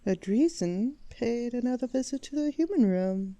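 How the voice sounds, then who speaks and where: Woman playing a mellow, composed elf queen. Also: fairly high pitch, lively intonation, no echo. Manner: formal, measured, quietly assured. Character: composed, shrewd, persuasive elf queen and mother. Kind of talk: fantasy audio roleplay acting.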